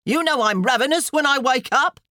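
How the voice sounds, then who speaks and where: Storybook shrew: high, loud, screechy, bossy wife with a short temper. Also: female voice, fairly quick, dry recording, clean studio sound. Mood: bellowing, demanding. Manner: screeching, hollering, imperious. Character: loud, demanding, bad-tempered wife bellowing for waffles. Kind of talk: audiobook character voice.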